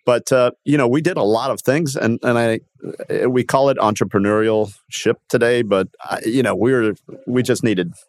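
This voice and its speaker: A man in his sixties from Miami, born in Cuba, telling his life story as an interview guest in a halting, self-correcting way. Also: mid-range voice, dry recording, clean studio sound. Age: 60s. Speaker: podcast guest, company founder.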